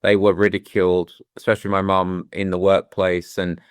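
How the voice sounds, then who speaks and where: A deep-voiced, middle-aged British man talks things through with candour, pausing and restarting to find his words. His voice is smooth and resonant, and the recording is close and dry. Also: level tone, no room sound. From London, England. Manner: thoughtful, with frequent restarts and "you know"s. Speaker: guest. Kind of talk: candid reflective conversation.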